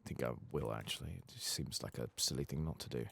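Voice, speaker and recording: A man giving soft-spoken, sometimes whispered ASMR game commentary, muttering casually and swearing to himself. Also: a bass voice, fast, creaky, husky. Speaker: ASMR gaming streamer.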